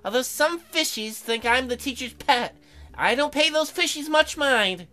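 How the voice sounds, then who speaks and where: A woman's voice: expressive, measured pace, pushed and bright, soft background music, exaggerated voice. A woman voicing a sweet, eager, easily flustered schoolgirl class president in a visual novel, with a girlish voice. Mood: cheerful, eager. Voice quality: high, girlish.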